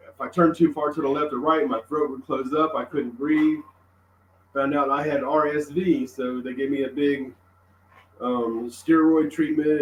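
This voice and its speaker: Hoarse man talking from off-mic. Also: mid-range voice, far from the mic, slight background noise. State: voice nearly gone after illness. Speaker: guest on a live cooking stream. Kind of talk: casual chat. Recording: echoey room, heard from off-mic.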